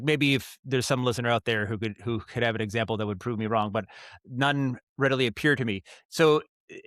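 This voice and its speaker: A man speaking as a podcast guest in casual interview talk, explaining his craft in a halting, thoughtful way with frequent self-corrections. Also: medium pitch, a bit bright, dry recording. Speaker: guest, audio drama writer and director.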